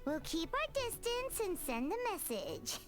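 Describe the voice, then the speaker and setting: A woman voicing a tiny, excitable, childlike fairy companion in a video game, chirpy and quick to exclaim. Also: very high-pitched, exaggerated voice. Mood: cocky. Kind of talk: English video game voice acting. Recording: game music under the voice. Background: game music.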